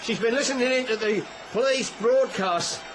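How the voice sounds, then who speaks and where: An actor playing an urgent, respectful gang underling in a radio drama. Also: male voice, extremely high pitch, moderate pace. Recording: noisy radio play with ambience.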